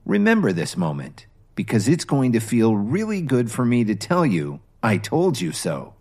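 A man voicing an earnest, quietly determined young husband close to his own voice. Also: medium pitch, wildly swooping pitch, moderate pace, breathy edge, exaggerated voice.

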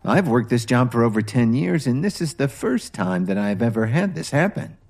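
A man voicing a soft-spoken, polite bank customer service agent. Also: mid-range voice, very animated, normal speed, creaky, echoey room, faint music behind. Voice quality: soft, formal.

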